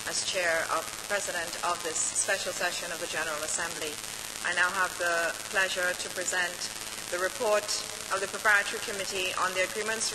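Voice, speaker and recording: A woman from Grenada, an ambassador presenting a committee report to an assembly in a formal, procedural manner. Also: lowish voice. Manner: formal and procedural, steady delivery. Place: large assembly hall. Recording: hissy conference recording.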